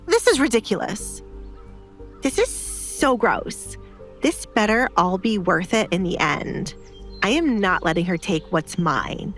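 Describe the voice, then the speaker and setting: A woman's voice: mid-range voice, very animated, unhurried, fairly bright tone, faint music behind. A woman playing a jealous, squeamish young noble daughter in an audio drama, whiny and indignant. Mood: disgusted, indignant.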